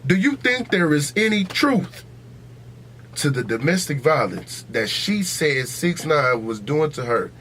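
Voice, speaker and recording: A man's voice: on the high side, very animated, measured pace, fairly bright tone. Blunt American man debating, faint noise behind. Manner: blunt, profane, repeats himself for emphasis. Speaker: podcast host. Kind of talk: casual opinionated debate.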